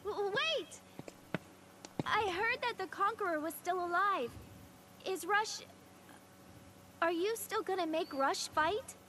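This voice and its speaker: A woman's voice: very high voice, expressive, takes it slowly, long pauses, pushed and bright, a little hiss or hum, exaggerated voice. A woman playing an anxious, earnest teenage girl in a fantasy video game, with a pleading manner. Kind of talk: video game cutscene acting.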